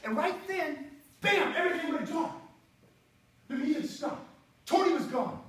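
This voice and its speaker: 60s guy w a really high voice doing a funny speech, bouncy delivery, sounds far off in a big echoey hall. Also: a little slow. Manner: animated, breaks into song snippets. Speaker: contest speaker. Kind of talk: humorous storytelling speech. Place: auditorium stage before an audience.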